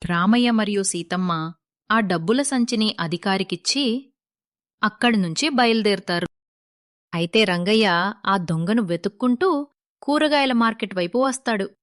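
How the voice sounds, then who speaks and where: Female narrator for moral tales, medium pitch, scripted storytelling, dry studio-like sound. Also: lively intonation, normal speed, pauses often, no echo.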